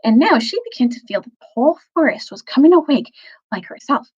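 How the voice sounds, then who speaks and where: A woman reads a children's novel aloud with lively, swooping intonation. Her medium-pitched voice is a little husky, and she phrases carefully for emphasis. The recording is slightly dull. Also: brisk. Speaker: storyteller, teacher reading aloud.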